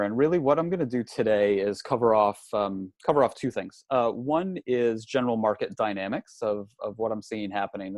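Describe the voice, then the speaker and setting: A man's voice: on the low side, darkish tone, dry recording. A man presenting a live webinar from a hotel room, talking through slides in a conversational way and often repeating words and restarting. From not from New Zealand. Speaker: real estate industry analyst presenting a webinar.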